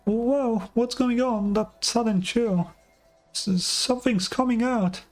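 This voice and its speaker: A man voicing a small, excitable, childlike female fairy companion from a video game, in a chirpy manner. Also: very high-pitched, takes it slowly, faint music behind, pitched above the performer's own voice. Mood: awed, alarmed. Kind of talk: video game dialogue read aloud.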